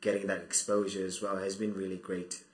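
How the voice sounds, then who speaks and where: A man's voice: low voice, little pitch movement, fairly quick, reverberant. A young man from Zimbabwe, a student, answering interview questions about his life in a thoughtful, hesitant way with many restarts. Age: 20s. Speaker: guest.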